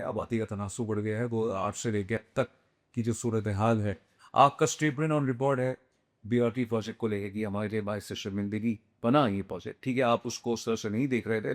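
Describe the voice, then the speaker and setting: A man interviewing a politician, questioning him and pushing back on his answers. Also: mid-range voice. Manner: challenging, pushes back with questions.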